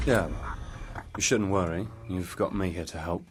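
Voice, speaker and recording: A deep-voiced, wry field handler. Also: male voice, a little slow, noisy background. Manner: easygoing, wry, joking. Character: wry male handler speaking over comms. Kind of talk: video game cutscene acting. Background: background music.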